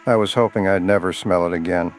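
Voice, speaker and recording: A man's voice: low-pitched, fairly even intonation, quick pace, soft background music, natural voice. A man playing a grim, level-headed local hunter in a horror story, grave and matter-of-fact. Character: grim, level-headed local male hunter who knows his prey. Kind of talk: scripted horror story dialogue.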